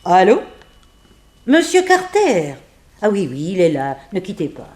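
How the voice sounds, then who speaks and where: A woman playing a composed, unsentimental figure in a 1960s French radio mystery, telling things dramatically with weighted pauses. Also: on the low side, huge pitch swings, darkish tone, a little hiss or hum. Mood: cool. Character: composed, unsentimental woman recounting a family tragedy. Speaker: actress in a radio serial.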